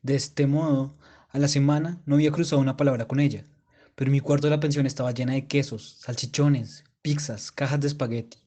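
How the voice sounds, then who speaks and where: A man acts as a mature German war veteran looking back on his youth in a radio play. His voice is mid-pitched and very mellow, in measured storytelling style. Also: fairly even intonation, close to an everyday voice. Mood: wistful reminiscing. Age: middle-aged.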